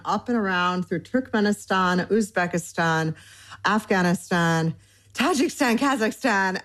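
A woman in her forties from Michigan, a cookbook author in an interview, talking eagerly in long winding lists with plenty of fillers. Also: on the low side. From Michigan, United States. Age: 40s. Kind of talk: conversational interview.

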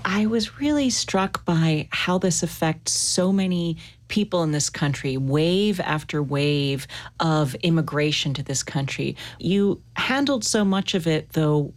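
A woman hosting a literary interview, putting questions in a composed, deliberate way. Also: fairly low pitch. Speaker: interview host.

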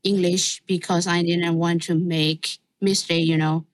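A woman from Myanmar who is an adult English learner, speaking slowly and carefully in English. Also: on the low side, flat delivery, dry recording.

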